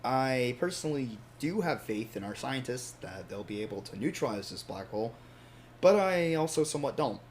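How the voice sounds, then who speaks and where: A man's voice: mid-range voice, big pitch swings, moderate pace, natural voice. A man playing a resigned, reflective ordinary man facing the apocalypse, musing hesitantly in an understated, informal monologue. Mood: uneasy, resigned. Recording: background noise and ambience.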